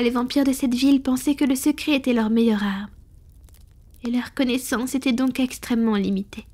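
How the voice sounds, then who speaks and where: A woman voicing a centuries-old female vampire in an intimate scripted roleplay, soft, breathy and confiding, with measured delivery and whispered touches. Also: high-pitched.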